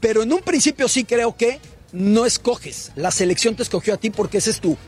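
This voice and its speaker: A man's voice: high voice, lively intonation, a little fast, husky, soft background music. A man with a Mexican accent giving casual opinions on football as a panelist. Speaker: sports show panelist.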